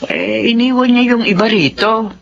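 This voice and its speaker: A woman's voice: on the high side, normal speed, natural voice. An actress playing a kind, reassuring female employer in a Filipino radio drama, soothing and sympathetic. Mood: agreeable. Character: kind, reassuring lady of the house. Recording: slightly muffled, faintly noisy.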